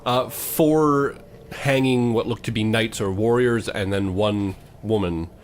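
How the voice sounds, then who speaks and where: A man hosting as game master, narrating a tabletop fantasy game in a halting, descriptive way. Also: lowish voice, slight background noise. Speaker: game master, podcast host.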